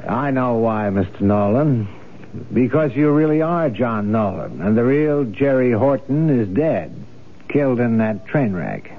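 A man's voice: mid-range voice, animated, a little slow. An actor playing a confident, knowing police lieutenant, dry and assured as he questions a suspect in 1970s radio drama style. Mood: matter-of-fact. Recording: tinny, band-limited old radio broadcast.

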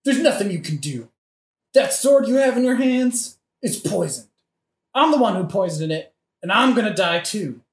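A man as a creaky, dying young avenger. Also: high voice, lively intonation. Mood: pained, grave. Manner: slangy, drawn-out. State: gasping, coughing, dying. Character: mortally wounded young avenger, remorseful modern bro. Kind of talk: modern-language comedic audio play acting. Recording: roomy, echoing recording.

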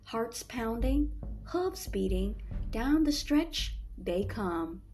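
A woman's voice: a little high, very animated, very slow, a little mellow. A woman reading scripted commercial narration as a voice-over artist.

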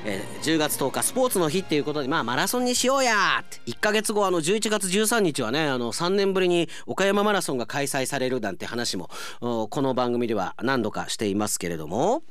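Male radio announcer, chatty mail-reading style, very animated pitch, close dry sound. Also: a little fast, hardly any pauses, dry recording, soft background music.

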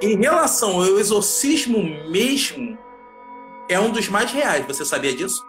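A man's voice: high-pitched, animated, unhurried, a bit bright, soft background music. A man co-hosting a casual live chat, joking around and talking in a playful, slangy way.

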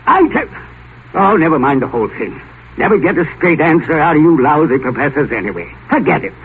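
An actor playing a gruff, ill-tempered rich old tycoon who grumbles curtly, in mid-century radio drama style. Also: male voice, on the high side, big pitch swings, fairly quick. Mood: angry. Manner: blustering, grumbling, curt. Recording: noisy, tinny 1950s radio broadcast.

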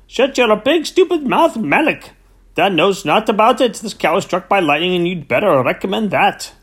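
A man voicing a miserly, furious older farmer, speaking in shrill, indignant outbursts and a hoarse wheedling whisper. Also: very animated, normal speed, exaggerated voice.

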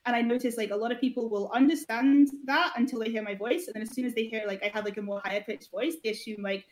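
A woman's voice: fairly high pitch, fairly even intonation, fast talker. A woman guest on a podcast, an esports founder and coach, speaking candidly in a rambling way full of "like" fillers and hesitant restarts. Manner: candid, blunt at times. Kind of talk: casual podcast conversation.

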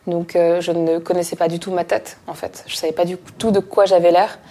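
A woman in her thirties, a photographer speaking in a reflective, measured way. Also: fairly low pitch, flat delivery, warm tone. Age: 30s. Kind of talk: documentary interview testimony.

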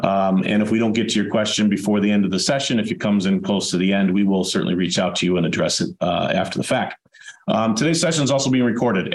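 A man hosting a webinar in a relaxed, conversational way, his voice hoarse, raspy and dry from a chest cold. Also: sits low. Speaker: webinar host. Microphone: video call. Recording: echoey room over a video call.

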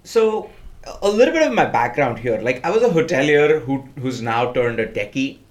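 Indian-accented man with a lively, varied pitch. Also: moderate pace, muffled recording. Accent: Indian English with some American inflection. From India, Mumbai. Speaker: podcast co-host. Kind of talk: casual podcast chat.

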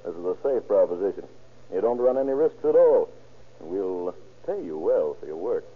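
An American actor playing a shady, persuasive swindler in a vintage radio crime drama, coaxing and evasive. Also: male voice, sits low, very animated, moderate pace, husky, full character voice. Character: shady, persuasive male swindler pitching a crooked scheme. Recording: scratchy, tinny old radio recording.

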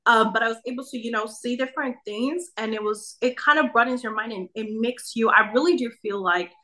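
A woman's voice: on the high side, brisk, pushed and bright. A young woman from Nigeria chatting as a podcast guest, full of "like" and "you know". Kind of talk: casual conversation.

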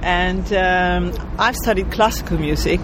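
A woman's voice: lowish voice, unhurried, noisy background. A woman composer from Israel, answering interview questions thoughtfully and searching for her words.

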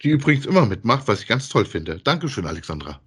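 A man's voice: lowish voice, very animated, fast, a bit bright, breathy edge. A man chatting casually as co-host of a podcast that reviews audio dramas.

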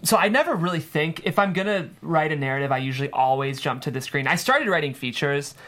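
A man's voice: a little high, fast talker, fairly bright tone. Thoughtful male writer in conversation. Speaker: guest screenwriter. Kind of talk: casual interview chat.

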